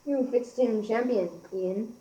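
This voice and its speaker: A young teenage girl playing an excitable villager in a school radio play. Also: on the high side, takes it slowly, background noise. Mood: pleased. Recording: echoey amateur room recording.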